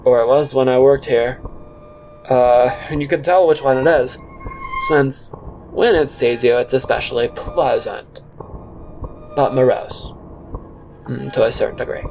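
A man playing a disgruntled former fast-food worker, rambling with halting, deadpan earnestness in an audio drama monologue. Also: medium pitch, vocal fry, music in the background. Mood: deadpan, musing. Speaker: narrator and character in a solo audio drama.

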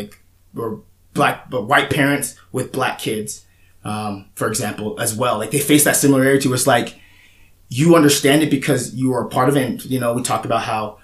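Young man, casual podcast talk, rambling, restarts often, mid-pitched voice, echoey room. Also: fairly bright tone. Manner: candid, rambling, many restarts and fillers. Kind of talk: casual conversation.

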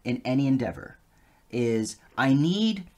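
A man's voice: mid-range voice, lively intonation, measured pace, darkish tone. A man, a guest on an interview show, talking casually and with enthusiasm, laughing easily. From United States. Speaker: guest, polyglot.

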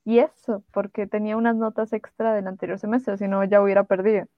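A young woman talks casually as a podcast co-host at a medium pitch. Her voice is very dry, with no room around it, and the audio is slightly dull. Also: level tone, fairly quick, dry recording. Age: young adult.

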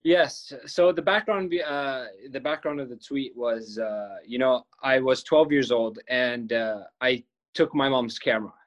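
A man's voice: medium pitch, a little slow. A young man from Palestine, a filmmaker guest on a podcast, telling his story earnestly and often restarting his words.

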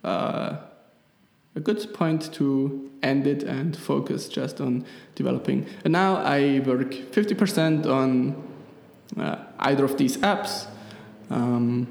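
Slow, gravelly Swiss man in an echoey room. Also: medium pitch, a little mellow. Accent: Swiss German. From Switzerland. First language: Swiss German. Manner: hesitant, many fillers and restarts, laughs easily. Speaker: guest, app developer. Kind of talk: casual interview chat. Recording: echoey room with long reverb.

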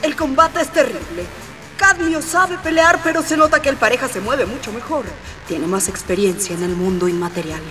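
A woman's voice: on the high side, expressive, normal speed, over music, natural voice. A woman playing an entranced seer in a radio drama, giving dramatic play-by-play of visions. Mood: tense. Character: entranced female seer narrating a spirit battle. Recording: reverberant, distant.

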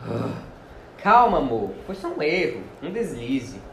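A woman playing a smooth-talking, pleading cheating husband in an amateur radio soap opera. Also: extremely low pitch, very animated, measured pace, fairly bright tone, exaggerated voice. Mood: coaxing. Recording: roomy, faint noise, amateur recording.

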